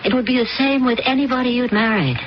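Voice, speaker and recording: A woman's voice: on the high side, fast talker, close to an everyday voice. An actress playing a troubled intuitive stepmother. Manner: hesitant, searching. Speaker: radio drama actor. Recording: dull old radio recording, faint hiss.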